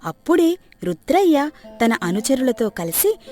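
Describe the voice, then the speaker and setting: A woman's voice: high-pitched, big pitch swings, unhurried, dark tone, faint music behind. A woman reading scripted narration for a moral story.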